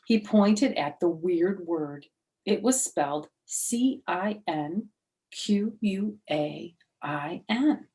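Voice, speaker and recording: Expressive woman reading a children's story. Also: lowish voice, very slow, low-quality recording. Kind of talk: animated read-aloud with asides to listeners.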